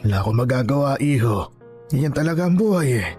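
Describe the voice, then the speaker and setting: An actor playing a poor, weary elderly grandfather who sells peanuts, gentle and hesitant, with a Filipino accent, in a radio drama. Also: medium pitch, lively intonation, brisk, soft background music, close to an everyday voice. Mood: sorrowful, resigned. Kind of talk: Tagalog radio drama acting.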